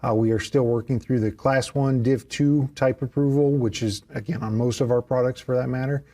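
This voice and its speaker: A young man speaking as a product manager, answering questions in a relaxed, plainspoken way over a video call. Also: medium pitch, normal speed. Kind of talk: casual interview answers.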